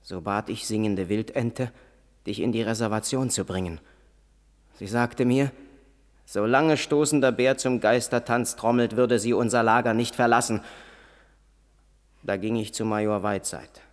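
An actor playing a proud, solemn Lakota warrior father who recounts the past in a German radio play, in measured storytelling. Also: on the low side, fairly even intonation, close to an everyday voice. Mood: grave. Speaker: narrator and lead character.

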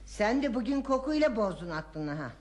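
Nagging, high-voiced old wife. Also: female voice, sing-song, normal speed. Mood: scolding. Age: older. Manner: scolding, sighing, exasperated. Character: nagging, practical, grumbling elderly wife. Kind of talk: Turkish radio theatre acting.

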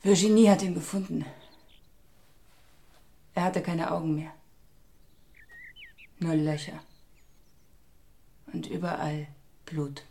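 A woman's voice: on the low side, fairly even intonation, takes it slowly, creaky, long pauses, mellow. A guilt-ridden grieving mother. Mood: grief-stricken. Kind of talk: radio play acting.

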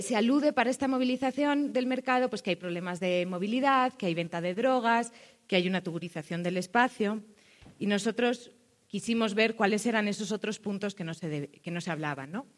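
Woman with a Spanish accent, panel speaker, reflective and measured, mid-range voice. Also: mid-range voice. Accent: Spanish (Spain). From Spain. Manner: thoughtful, pauses on fillers, often ends points with a questioning "no?". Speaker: cultural manager and public official presenting a project. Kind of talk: panel presentation to an audience.

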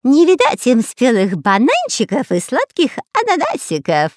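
A woman's voice: high voice, wildly swooping pitch, moderate pace, no echo, studio quality, pitched above the performer's own voice. A woman voicing a long-nosed male spy in a children's story, sneering and sniggering in a nasal drawl. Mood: gleeful.

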